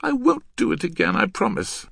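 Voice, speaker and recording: Man putting on a high, pleading, exhausted young woman. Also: huge pitch swings, moderate pace, vocal fry, fairly bright tone, breathy edge, no room sound, studio quality, full character voice. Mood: pleading, tearful.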